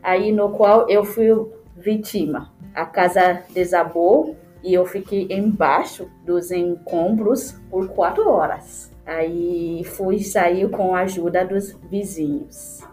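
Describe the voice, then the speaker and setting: A woman's voice: fairly low pitch, a little mellow. Haitian woman with a non-native accent, music behind. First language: not Portuguese, learned it as an adult in Brazil. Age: 30s. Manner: halting non-native phrasing with self-corrections. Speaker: podcast guest telling her life story. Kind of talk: personal storytelling in an interview. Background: background music.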